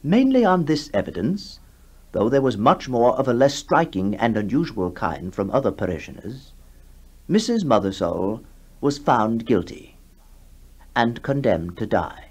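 A man narrating a ghost story for 1960s radio in a measured storytelling manner with deliberate pauses. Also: mid-range voice, sing-song, breathy edge. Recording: 1960s radio broadcast with music behind.

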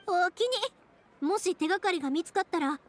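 A woman's voice: very high voice, fairly quick. A woman voicing a cheerful young fireworks maker in a game, talking in a breezy, friendly Kansai dialect. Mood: upbeat. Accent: Kansai Japanese.

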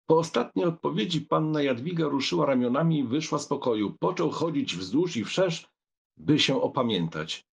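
A man narrating a literary audiobook in a dramatic style, with a husky voice. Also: on the high side, expressive, moderate pace.

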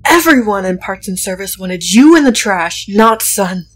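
A woman's voice: medium pitch, animated, normal speed, slightly rough, faint music behind. A woman playing a devoted, wounded but defiant inventor in a dramatic comic dub, speaking earnestly with emotional weight. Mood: angry, defiant.

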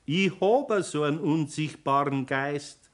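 A man playing a kindly, sly older craftsman in a 1960s radio play, with a Bavarian accent and an unhurried, homely manner. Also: fairly high pitch, close to an everyday voice. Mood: teasing. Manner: unhurried, homely, chuckling, gently admonishing.

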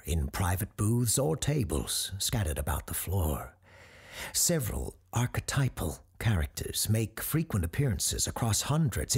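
Learned male host of an eerie audio drama, gravelly, very husky, measured and ominous, dramatic pauses. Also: sits low, exaggerated voice. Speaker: paranormal advice show host. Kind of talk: scripted dramatic storytelling.

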